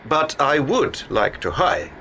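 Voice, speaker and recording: A man's voice: medium pitch, big pitch swings, unhurried, bright, forceful tone, full character voice. An actor in a radio play playing a cryptic, courteous male stranger who speaks formally and slyly. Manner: formal, enigmatic. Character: smooth, cryptic male stranger making an offer, politely sly. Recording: clear background noise, vehicle ambience.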